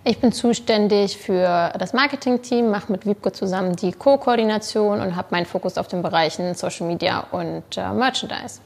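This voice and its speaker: A woman's voice: mid-range voice, normal speed, vocal fry, few pauses. A woman in her twenties from Bavaria, introducing herself plainly in an interview. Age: 20s. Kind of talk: casual interview answer.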